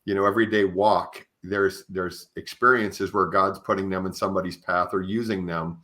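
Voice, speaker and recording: A man hosting a conversational podcast, sharing anecdotes in a halting way with frequent "you know". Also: low-pitched, steady tone, a bit bright. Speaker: podcast host. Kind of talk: conversational interview with personal anecdotes.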